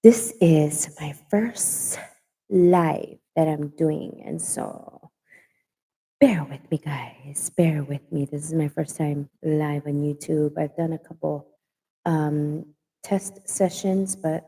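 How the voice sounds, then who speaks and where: A woman, hosting a casual live-stream chat, halting with many fillers and restarts. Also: on the low side, dark tone, big-room sound, low-quality recording. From Hawaii. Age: late 40s.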